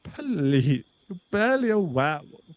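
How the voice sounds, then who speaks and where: A man's voice: high voice, big pitch swings, measured pace, no echo. A man voicing a possessive, condescending vampire in an ASMR boyfriend roleplay, mocking one moment and sweet-talking the next. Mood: haughty, mocking.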